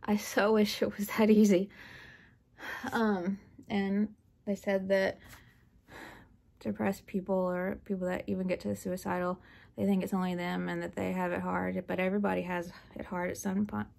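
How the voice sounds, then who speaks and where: Blunt woman with a gravelly voice. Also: mid-range voice, level tone, normal speed, a little mellow. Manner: blunt, rambling, laughs nervously. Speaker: book vlogger. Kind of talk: candid personal talk. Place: home, sitting on living room floor.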